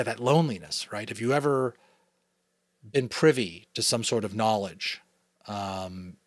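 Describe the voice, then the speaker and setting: A man with a New England American accent giving an expressive dramatic reading of a novel, with chatty asides to listeners. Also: lowish voice, no room sound. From New England, United States. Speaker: audiobook reader.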